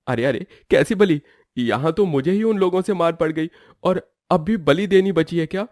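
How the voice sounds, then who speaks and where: A man's voice: a little high, normal speed, darkish tone, no room sound, studio quality, close to an everyday voice. A man playing a lazy young cartoon woodcutter who protests plaintively and denies all blame. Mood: whining protest.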